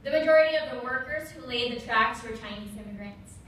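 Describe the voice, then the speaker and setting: Young woman officer, Tagalog speaker, bright voice, reading a speech in a reverberant hall with faint room noise. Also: a little high, recorded from a distance. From Philippines. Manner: measured, reads from a prepared text. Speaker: Army officer giving an observance speech. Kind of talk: prepared public speech.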